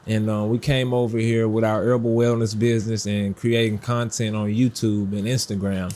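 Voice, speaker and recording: A man's voice: fairly low pitch, moderate pace, slight background noise. A man in his late twenties from the United States, talking easily as a guest on an interview show and exclaiming often. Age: late 20s. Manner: relaxed, easygoing, exclaims wow often. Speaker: guest content creator. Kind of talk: casual interview talk.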